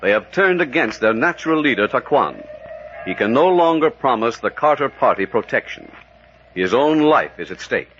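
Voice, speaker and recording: A man's voice: medium pitch, sing-song, normal speed, fairly bright tone. A man announcing dramatically in the style of a 1940s radio serial. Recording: muffled vintage radio, faint noise.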